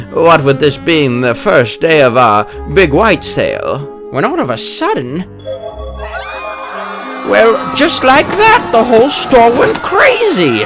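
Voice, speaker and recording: A woman voicing an excitable older shop worker with a folksy storytelling manner in a comic-book dub. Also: lowish voice, heavily acted. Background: music.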